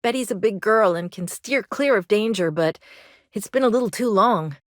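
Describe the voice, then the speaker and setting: An actress playing an anxious, earnest mechanic in a video game, with a folksy Southern US drawl and an apologetic manner. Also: female voice, mid-range voice, normal speed, no echo, studio quality, close to an everyday voice. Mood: earnest, concerned. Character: anxious, earnest young colony mechanic woman fond of her robot.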